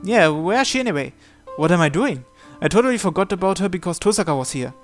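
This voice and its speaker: Man, somewhat high-pitched, game series host, story read-aloud, music in the background. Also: animated, fast talker. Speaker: host of a visual novel playthrough series. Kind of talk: reading visual novel narration aloud.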